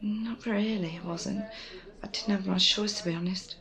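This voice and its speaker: A woman's voice: mid-range voice, steady tone, normal speed, a bit bright, natural voice. A woman playing a wry, evasive young rescuer in an intimate ASMR audio roleplay, with a sardonic, hesitant manner. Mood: evasive, flustered. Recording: noisy ambience behind close voice.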